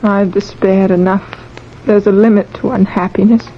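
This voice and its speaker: A sorrowful, brave young bride played by an actress speaks with wistful restraint and quiet melodrama. The old radio recording is muffled, with faint noise. She sounds resigned to her fate. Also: mid-range voice, steady tone, moderate pace, close to an everyday voice. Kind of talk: old-time radio horror drama acting.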